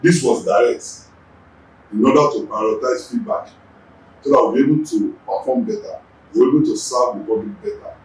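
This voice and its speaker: A Yoruba-speaking Nigerian man, a traffic agency's general manager, giving measured interview answers and often repeating words as he gathers his thoughts. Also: medium pitch, recorded from a distance. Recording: echoey room, voice off-mic.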